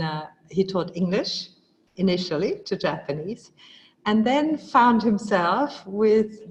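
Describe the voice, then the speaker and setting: A woman's voice: mid-range voice, poor audio. A woman hosting a live webinar, talking with enthusiasm and many fillers and restarts over a video call. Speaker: webinar host.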